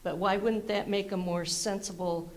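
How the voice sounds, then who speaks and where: A woman resident speaking loudly and directly at a public planning meeting, with a gravelly voice. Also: medium pitch, fairly even intonation, normal speed, a little hiss or hum. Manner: loud, direct, chatty. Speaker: local resident commenting. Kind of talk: public comment at open house.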